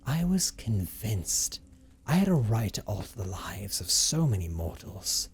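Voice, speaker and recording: A man playing a brooding, guilt-ridden vampire in an audio roleplay monologue, speaking in a measured, formal, hushed and breathy storytelling manner. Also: on the low side, big pitch swings, exaggerated voice. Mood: remorseful, brooding. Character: brooding male vampire recounting his tragic, guilt-ridden past.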